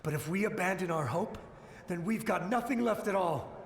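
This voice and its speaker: Frightened, devoted samurai soldier, high male voice in long reverb, urgent, pleading game delivery. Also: normal speed, slight background noise. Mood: frantic, fearful. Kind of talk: video game voice acting.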